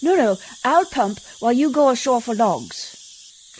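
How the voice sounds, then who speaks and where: A woman's voice: a little high, lively intonation, a little slow, darkish tone, a little hiss or hum. An actress in a radio play playing a prim, genteel but brave lady, proper and precise, with a fluttery romantic streak. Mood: eager.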